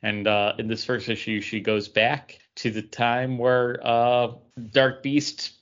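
A man of medium pitch speaks as a podcast co-host, giving a casual recap. He goes haltingly, restarting sentences along the way. The sound is dull and lo-fi. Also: little pitch movement, a bit bright. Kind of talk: casual chat, recapping comics.

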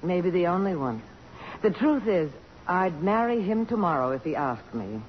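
An actress playing a self-possessed, sharp-witted middle-aged congresswoman, earnest and commanding, in 1950s radio drama style. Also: on the low side, very animated, normal speed. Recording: muffled, noisy old radio recording.

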